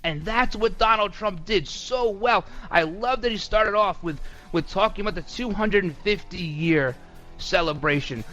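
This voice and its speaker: Sarcastic high-voiced male radio host. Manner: sarcastic, mocking, animated. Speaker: radio talk show host. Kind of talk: political commentary monologue.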